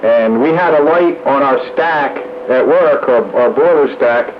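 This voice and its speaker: An American man with a medium-pitched voice chats casually and plainly. His two-way radio signal is muffled, with clear noise running through it. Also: measured pace.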